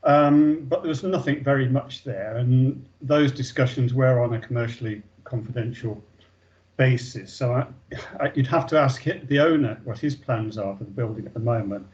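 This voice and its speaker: A man's voice: mid-range voice, moderate pace, a little mellow. Man losing his voice on a call. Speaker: meeting participant giving an update. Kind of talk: online meeting update. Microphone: video call. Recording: dull lo-fi video call audio.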